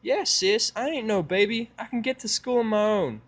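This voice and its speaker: A man's voice: high voice, expressive, moderate pace, pitched above the performer's own voice. A man voicing an indignant little country pony girl, a kid sister, for an audio drama. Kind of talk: fan fiction audio drama acting.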